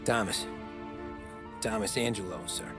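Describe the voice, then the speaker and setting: Male actor for a respectful cabbie, lowish voice, proud and determined, music behind, game drama. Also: steady tone, a little slow, natural voice. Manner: respectful, restrained. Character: polite, proud, determined working-class male cab driver. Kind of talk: cinematic video game acting.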